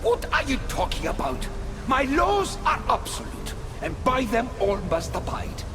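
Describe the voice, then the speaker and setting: A man's voice: very high-pitched, wildly swooping pitch, unhurried, full character voice. An actor playing a proud, imperious male ruler in a video game cutscene, commanding and indignant. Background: game score music.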